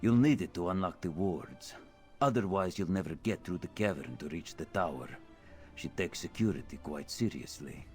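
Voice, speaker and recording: A wary male official of a wizard's tower in a fantasy game. His voice is deep, his delivery formal and drily sardonic, over faint music. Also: animated, normal speed, a little mellow. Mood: businesslike. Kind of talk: scripted fantasy video game dialogue.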